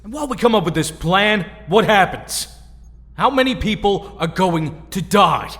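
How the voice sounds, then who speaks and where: An actor playing a determined, hot-headed young superhero leader in an audio drama. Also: male voice, high-pitched, animated, moderate pace, a bit bright. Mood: urgent. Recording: long reverb.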